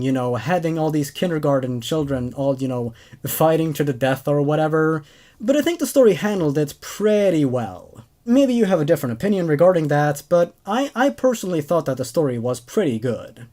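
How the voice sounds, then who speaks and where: A man's voice: sits high, mellow. A man in his twenties hosting and narrating horror stories, recorded indoors on a desk microphone. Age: 20s. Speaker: host narrator and reviewer. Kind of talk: reading horror stories aloud with commentary. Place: small dark room indoors. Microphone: desk microphone on a boom arm.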